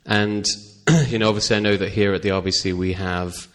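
A man's voice: fairly low pitch, fairly even intonation, fairly quick. A man hosting a veterinary podcast, chatty and casual, with frequent asides. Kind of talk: podcast interview.

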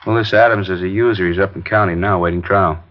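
An easygoing narcotics sergeant, as an actor plays him in an old radio drama, chatting casually and muttering asides. His voice is fairly low, and the broadcast sounds dull and lo-fi with a faint hiss. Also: steady tone, quick pace, natural voice. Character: easygoing male narcotics police sergeant, adult. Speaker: police sergeant. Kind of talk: 1950s radio drama acting.

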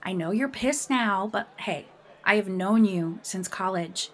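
An actress playing a brisk casting director who coaxes an old friend, in an audio drama. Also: female voice, medium pitch, fairly bright tone, lightly acted. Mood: coaxing.

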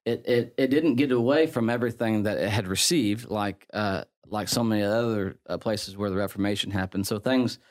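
A middle-aged man with an English Midlands accent, a priest explaining things in relaxed conversation, full of fillers and restarts. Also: lowish voice, fairly quick, few pauses. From England. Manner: chatty, many fillers and restarts, laughs easily. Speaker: priest guest.

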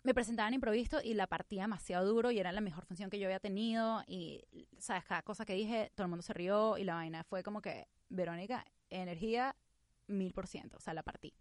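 Young Venezuelan woman guest, quick and lively storyteller, close dry sound, English phrases thrown in. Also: mid-range voice, no room sound. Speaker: guest, actress and comedian. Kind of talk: casual interview chat.

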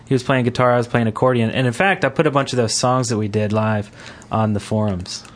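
A man hosting a podcast in a casual, chatty style, giving shout-outs to listeners. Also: mid-range voice, fast. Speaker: podcast host. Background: music.

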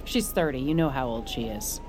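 A woman's voice: rumbling low, lively intonation, a little fast, noisy background. A woman playing a regretful, guarded woman in an audio drama. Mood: guarded, defiant. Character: regretful woman, sister of the officer's suspect. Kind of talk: immersive audio drama acting.

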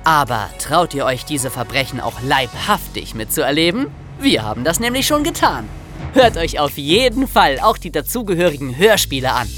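Very animated man presenting a podcast. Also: a little high, moderate pace, soft background music. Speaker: podcast co-host. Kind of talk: scripted podcast presenting and narration.

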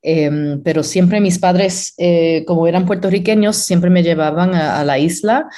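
A woman opera stage director raised in Pennsylvania, answering interview questions thoughtfully with many pauses and restarts. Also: fairly low pitch, mellow. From Pennsylvania, USA.

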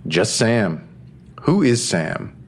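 A stern male doctor with a deep, husky voice. He is blunt and suspicious, pressing questions with swinging intonation in an audio drama. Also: big pitch swings, extremely slow, exaggerated voice. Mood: accusing. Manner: blunt, confrontational, probing. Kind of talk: full-cast audio drama.

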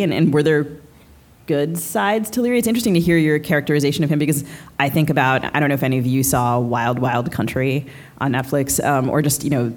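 A woman's voice: rumbling low, a little mellow. A woman speaks as co-host of a live book interview. She is conversational and thoughtful, feeling her way through her questions.

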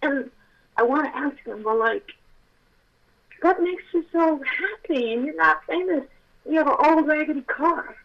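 An actress with a childlike voice telling a personal story with feeling, at times close to shouting. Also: female voice, high-pitched, a little slow, gravelly, muffled recording. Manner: animated, swells to near shouting at intense moments. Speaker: actress telling her testimony.

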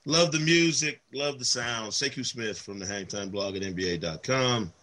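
A man with a slightly hoarse, worn voice co-hosts a casual sports podcast. He tells stories with animated enthusiasm, full of fillers and restarts, at an everyday middle pitch. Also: moderate pace, slight background noise. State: voice worn from travel. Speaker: podcast co-host.

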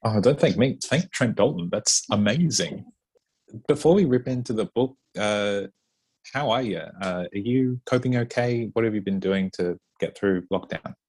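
Deep husky male host. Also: moderate pace. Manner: relaxed, chatty, with frequent fillers. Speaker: podcast host interviewing an author. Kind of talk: casual interview.

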